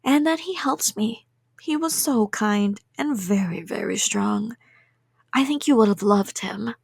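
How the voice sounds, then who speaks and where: A woman's voice: medium pitch, a little slow, gravelly, slightly rough, no echo, studio quality. A woman playing a cheerful, delusional, obsessive kidnapper in a rambling ASMR roleplay, sweet and playful and drifting into whispers. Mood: breezy rambling.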